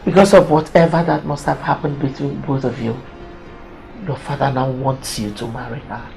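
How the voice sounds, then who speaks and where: A woman's voice: rumbling low, fairly even intonation, normal speed, creaky, darkish tone, faint music behind. An actress playing a mother and queen who scolds her son and gives orders to her servants. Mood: pleading. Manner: scolding, commanding. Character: stern mother queen of a palace. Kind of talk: melodramatic drama acting.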